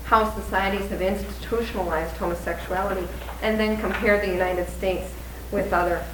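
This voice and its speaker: A woman's voice: mid-range voice, steady tone. Woman reading a speaker intro at a service, ums here and there, faint hiss, far from the mic. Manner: measured, with frequent ums. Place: congregation hall. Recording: echoey room, distant mic, faint noise.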